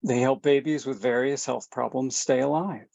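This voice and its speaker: Woman reading as a very low-voiced easygoing dad. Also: steady tone, normal speed, creaky, a little mellow, no room sound, full character voice. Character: easygoing middle-aged father who jokes. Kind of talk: table read. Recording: dull, dry recording.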